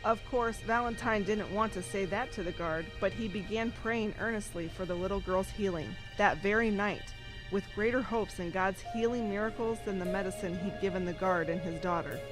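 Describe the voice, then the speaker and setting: A woman's voice: mid-range voice, normal speed, lightly acted, poor audio. A woman playing a patient storyteller who narrates a scripted tale for a child. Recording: clear music behind narration.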